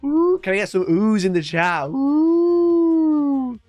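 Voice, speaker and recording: A man hosting a casual live stream, energetic and chatty, with a congested voice from a cold. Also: very high voice, slow pace, dry recording. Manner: energetic and chatty, repeating his greetings. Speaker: livestream host.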